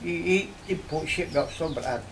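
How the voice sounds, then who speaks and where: An older man from Bahia, telling local history in an interview at an old mansion, unhurried and full of tag questions. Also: a little high, slight background noise. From Bahia, Brazil. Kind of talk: reminiscing interview.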